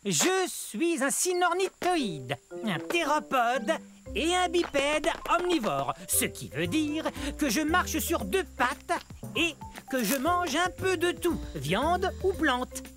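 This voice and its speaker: A woman's voice: sits high, big pitch swings, slow, music in the background, exaggerated voice. A woman voicing a brainy, self-important little feathered dinosaur who lectures politely and precisely in a children's educational cartoon. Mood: proud, courteous.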